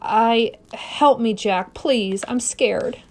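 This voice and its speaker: A woman's voice: on the high side, very slow, a little hiss or hum. A woman voicing a frightened, sick young woman with an anxious, pleading, faltering delivery in a story reading. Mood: terrified.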